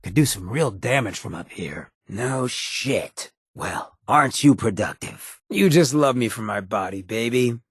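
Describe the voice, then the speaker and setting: Swaggering ghoul rogue, very husky rasp, lively swinging pitch, American accent, sardonic slang, video game companion. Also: male voice, a little slow, hardly any pauses, no echo, clean studio sound. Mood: amused, cocky. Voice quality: raspy, gravelly. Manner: streetwise, sardonic drawl with slang. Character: roguish, irreverent male ghoul mayor, a swaggering companion. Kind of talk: video game character dialogue.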